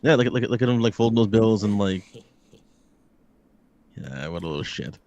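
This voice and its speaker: A man's voice: lowish voice, lively intonation, brisk, a little mellow. A man chatting casually as a guest, often repeating words as he thinks, on slightly lo-fi audio. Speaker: guest giving reactions and rankings. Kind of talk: casual chat.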